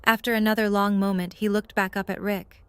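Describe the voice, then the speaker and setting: A woman's voice: mid-range voice, little pitch movement, fairly quick, clear, resonant tone, dry recording. A woman reading scripted story narration for an audio drama.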